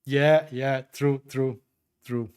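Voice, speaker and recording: A man's voice: medium pitch, steady tone, takes it slowly, a little mellow, clean tone. Chatty man streaming from home. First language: Croatian. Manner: hesitant, chatty, many fillers and restarts while tinkering. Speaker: livestream host. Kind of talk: casual livestream chat, answering viewer comments.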